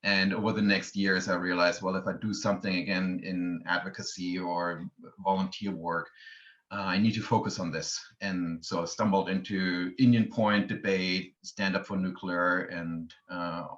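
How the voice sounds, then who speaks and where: Man, German accent, very low voice, measured, muffled call audio. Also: level tone, fairly bright tone. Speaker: guest, nuclear advocate. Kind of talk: conversational interview answer. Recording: dull remote audio.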